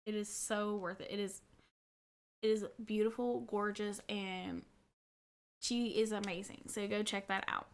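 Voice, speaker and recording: A woman's voice: mid-range voice, level tone, measured pace. A woman with a stuffy nose from a cold, chatting casually to camera from her couch at home and gushing, repeating herself. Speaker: cross-stitch vlogger.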